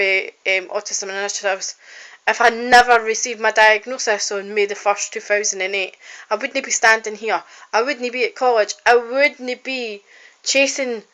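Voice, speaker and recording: A woman's voice: fairly high pitch, pushed and bright, clean tone, poor audio. A woman, a singer-songwriter and college student, talks in a heartfelt, rambling way to a phone camera for her video diary, with frequent ums and restarts. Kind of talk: personal video diary, casual talk to camera.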